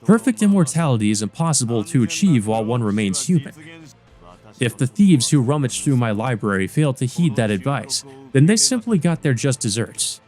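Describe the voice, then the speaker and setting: A man's voice: mid-range voice, very animated, brisk, a little mellow, natural voice. A haughty, scholarly vampire villain from a visual novel, acted out by a man, speaks in a mid-range voice. His manner is formal, cold and contemptuous, with music behind him. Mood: disdainful. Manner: formal, disdainful.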